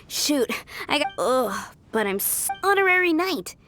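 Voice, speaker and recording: A woman's voice: high-pitched, big pitch swings, a little slow, fairly bright tone, heavily acted. A woman voicing an earnest, modest young female knight in training in a video game. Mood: flustered. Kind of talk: video game character acting.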